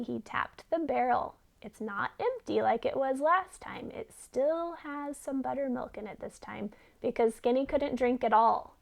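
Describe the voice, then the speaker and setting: A woman's voice: sits high, gravelly, darkish tone. A woman reading a children's story aloud expressively, as a teacher who puts questions to listeners.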